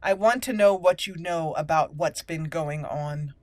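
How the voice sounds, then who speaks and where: A woman's voice: low voice, unhurried, dry recording. A woman doing casual, amused let's play commentary, slipping into in-character roleplay and talking to herself as she plays. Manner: playful, amused, talks to self. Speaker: gaming channel host.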